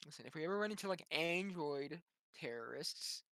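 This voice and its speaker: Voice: mid-range voice, lively intonation, moderate pace, sharp tone, no room sound. A player in a tabletop game, chatting casually and jokingly with the group.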